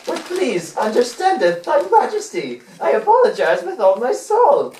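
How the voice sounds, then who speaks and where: A man's voice: very high voice, huge pitch swings, normal speed, darkish tone, full character voice. A man voicing a humble, servile envoy in an amateur puppet show, overwrought and deferential as he pleads. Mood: pleading. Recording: distant, echoey, faintly noisy room.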